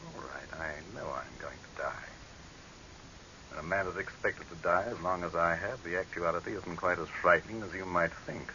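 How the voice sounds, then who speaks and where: A hissy, dull 1930s radio horror recording carries a proud dictator trapped and facing death. His voice is low and forceful, and he speaks like a commanding orator, full of contempt for whoever hears him. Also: normal speed. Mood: calm, mocking.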